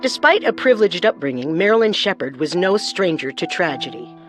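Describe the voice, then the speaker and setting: A woman delivers scripted true-crime narration as a podcast host. Her voice is slightly low and very animated in pitch, over faint music. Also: a little fast.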